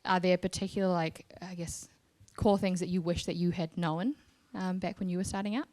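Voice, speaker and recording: A woman's voice: on the low side, fairly even intonation, normal speed, a little mellow. A woman moderating a panel discussion in a conversational way, as its host. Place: live event in front of an audience.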